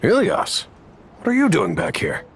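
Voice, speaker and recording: A man's voice: fairly high pitch, wildly swooping pitch, measured pace, breathy edge, faint music behind, exaggerated voice. An actor playing a worried, reproachful middle-aged father. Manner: reproachful and weary.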